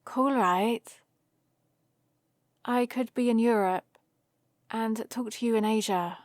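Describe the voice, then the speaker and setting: A woman's voice: on the high side, takes it slowly, dry recording. A woman playing a nerdy, cheerful young heroine in an ASMR roleplay, rambling in a friendly, explaining way to the listener. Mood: eager explaining.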